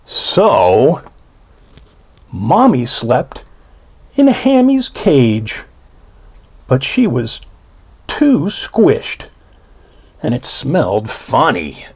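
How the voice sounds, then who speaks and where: Very animated, slow-paced male storyteller. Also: medium pitch, husky. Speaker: storybook reader for children. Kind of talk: children's read-aloud storytelling.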